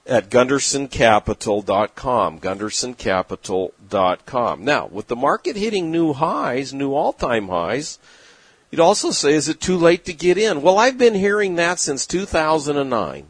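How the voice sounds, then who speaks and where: A middle-aged man, an investment manager, giving plain-spoken, opinionated market commentary with folksy turns of phrase and frequent pauses. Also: medium pitch, slightly rough, dry recording. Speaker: investment manager and newsletter writer. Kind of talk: direct-to-camera market commentary.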